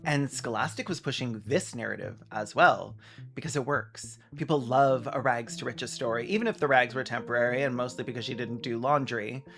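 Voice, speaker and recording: A man hosting a video essay, delivering scripted commentary in a sarcastic, deadpan manner. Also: mid-range voice, fairly quick, vocal fry, music in the background.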